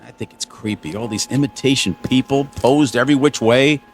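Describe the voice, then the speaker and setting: A man's voice: medium pitch, a little fast, natural voice. A man playing a breezy young-spirited crewman in a radio drama. His mid-range voice is casual and joking, with faint music underneath. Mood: uneasy. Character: breezy, wisecracking male junior crewman, slightly uneasy.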